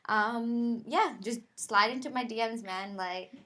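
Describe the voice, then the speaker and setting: A woman from Pakistan, a podcast guest, talking casually and thoughtfully with many pauses, fillers and restarts. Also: a little high, a bit bright. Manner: thoughtful, halting, lots of "like" and restarts. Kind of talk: casual conversational interview.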